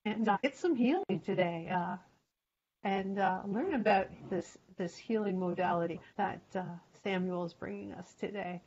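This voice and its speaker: A woman's voice: mid-range voice, moderate pace, a little mellow, dull audio, slight background noise. A woman hosting a spiritual talk show, opening it in a rambling way full of fillers over a video call. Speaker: host.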